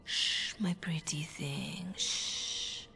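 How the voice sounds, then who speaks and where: Female spymaster for a fantasy game: husky, sly, seductive, controlling. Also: deep voice, fairly even intonation, drawn-out words, pushed and bright, whispered, exaggerated voice. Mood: hushed, soothing. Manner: coaxing, commanding, condescending endearments. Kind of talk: video game voice acting.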